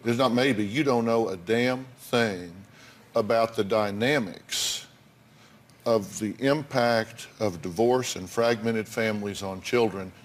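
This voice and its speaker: A man's voice: lowish voice, slow pace, a little hiss or hum. An older man hosting a televised talk show, bluntly lecturing and needling his guests in a studio. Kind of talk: confrontational talk show counseling. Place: TV studio with audience.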